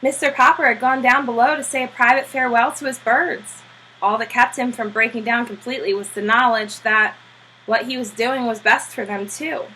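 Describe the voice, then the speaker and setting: A woman reading a children's novel aloud as a storybook narrator, with a husky voice. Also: a little high, sharp tone, a little hiss or hum, rough recording. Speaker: storybook reader.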